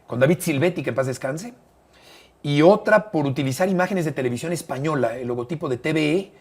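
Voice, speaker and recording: An older man with a Mexican accent, telling stories as an interview guest in a measured way, often pausing on "eh" and repeating phrases. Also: on the high side, slightly rough. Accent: Mexican Spanish. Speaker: interview guest, broadcaster and bullfight writer. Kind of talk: relaxed conversational storytelling.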